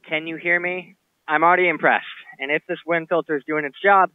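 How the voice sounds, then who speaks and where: A man's voice: a little high, dry recording, phone-quality sound. A man in his twenties giving a casual gear review as a video host. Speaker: video reviewer, event shooter. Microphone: headset intercom mic.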